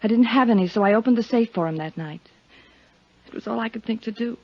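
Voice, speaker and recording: An actress playing an anxious wife, earnest and pleading in 1950s radio melodrama style. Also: female voice, on the high side, fast talker, no echo. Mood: defensive confession. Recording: dull dry 1950s radio recording.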